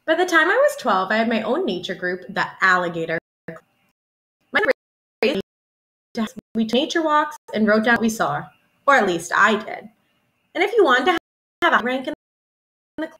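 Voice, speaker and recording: A young woman reads a storybook aloud for children. Her voice is fairly high and very animated, rising and falling expressively as she tells the story in a teacherly, deliberate way. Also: low-quality recording. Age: young adult. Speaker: elementary school teacher reading aloud. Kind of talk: expressive children's picture-book read-aloud. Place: small room at home, indoors.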